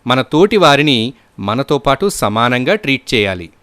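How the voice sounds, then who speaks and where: A man's voice: mid-range voice, big pitch swings, normal speed, fairly bright tone. A man narrating scripted moral stories for children as a storyteller.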